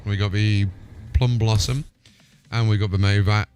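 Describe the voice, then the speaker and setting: A man's voice: fairly low pitch, fairly even intonation, normal speed, a little mellow. Informal man streaming over music. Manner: informal, rambling. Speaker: game livestream host. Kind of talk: casual gaming livestream chat. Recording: music playing behind the voice.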